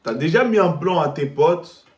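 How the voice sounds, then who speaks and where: A man's voice: fairly high pitch, lively intonation, normal speed, a little mellow. A man in his twenties, chatting and joking in slangy banter while hosting a drinking game. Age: 20s. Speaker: youtuber host. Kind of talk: casual drinking game chat. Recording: echoey room with music behind.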